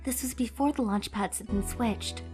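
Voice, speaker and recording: A woman plays an upbeat young lawyer in a game fandub. She sounds eager and open, and sometimes falters in her speech. Her voice sits fairly high. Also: normal speed, a little mellow, soft background music, close to an everyday voice. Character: upbeat young female lawyer, shy about past. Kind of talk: amateur game fandub acting.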